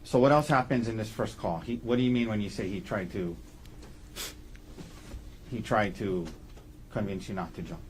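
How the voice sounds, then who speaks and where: A man speaking as an attorney in courtroom questioning, putting halting, leading questions and checking each with a short tag. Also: fairly low pitch, a little hiss or hum, rough recording. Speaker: defense attorney questioning a witness.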